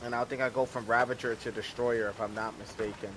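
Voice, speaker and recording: A man's voice: medium pitch, level tone, quick pace, background noise. A man streaming a game, chatting casually to viewers in a laid-back, rambling way with casual swearing. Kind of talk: casual gameplay commentary.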